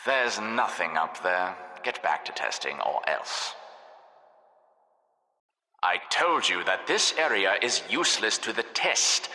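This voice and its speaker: A man's voice: low voice, lively intonation, slow pace, pushed and bright, full character voice. A man voicing a smug, sadistic test-lab overseer in a video game, mock-polite, sarcastic and theatrical. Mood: scolding. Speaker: game narrator. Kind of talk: scripted video game narration. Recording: echoey, reverberant hall sound.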